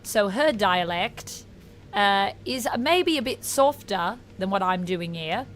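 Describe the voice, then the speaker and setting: A woman streamer chatting casually in a put-on, wavering Australian accent, halting and repeating words as she tries out the sounds. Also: mid-range voice, animated, slight background noise. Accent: put-on Australian, slipping toward New Zealand and Irish. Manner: halting, self-conscious, trying out vowel sounds and repeating words. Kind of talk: casual livestream chat while practicing accents.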